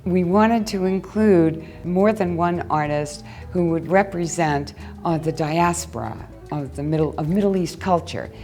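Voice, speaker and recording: A woman's voice: on the low side, measured pace, darkish tone, faint music behind. A woman curator explaining an exhibition in an interview. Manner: explanatory, gallery-tour talk.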